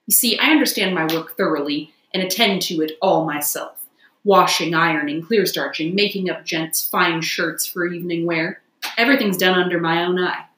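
A woman's voice: medium pitch, a bit bright, reverberant, close to an everyday voice. A woman voicing a boastful male toad who poses as a humble washerwoman, chatty, wheedling and self-important, for a storybook. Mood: airy boasting.

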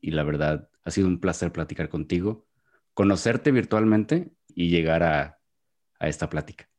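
A man's voice: deep voice, moderate pace, darkish tone. A man with a Jalisco Mexican accent, a podcast guest in a casual interview who talks at length and often restarts his words, recorded over a video call. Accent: Mexican Spanish, Jalisco. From Guadalajara, Mexico.

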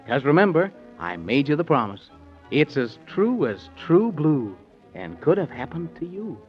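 A man narrating a children's story in rhyme, with a playful, sing-song 1930s radio delivery. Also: medium pitch. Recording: dull old 1930s radio recording.

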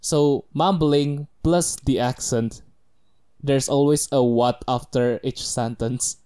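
Young speaker from Indonesia, rambling, reflective, casual chat, mid pitch. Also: fairly even intonation, slow, warm tone, smooth.

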